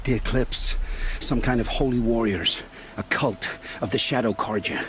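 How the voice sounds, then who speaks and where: A grim, world-weary male captive played by a man confesses in halting, folksy phrases, like a scavenger who has seen too much. The delivery is dramatic video game dialogue in a middling register, with clear ambient noise behind it. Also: medium pitch, fairly bright tone, close to an everyday voice. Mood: grave warning. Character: frightened, world-weary captive scrounger, man turned reluctant informant.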